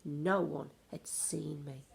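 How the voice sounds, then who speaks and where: A woman reading a children's story aloud in an expressive narrating style. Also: low-pitched, slow, creaky. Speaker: storybook reader.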